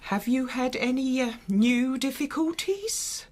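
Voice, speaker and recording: Fawning genteel visitor, very slow, wildly swooping, breathy, unctuous, radio drama. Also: female voice, high-pitched. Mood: sympathetic, flattering. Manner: flattering, unctuous.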